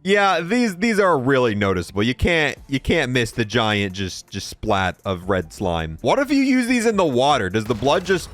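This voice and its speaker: A man giving casual, joking video game review commentary in an animated way. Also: mid-range voice, moderate pace, hardly any pauses, soft background music.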